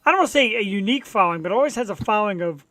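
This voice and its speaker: An eager male host with a high voice who talks quickly. The recording is dry and close, with no room sound. He is enthusiastic and fan-like in casual interview chat. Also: animated, a bit bright, dry recording. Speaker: show host.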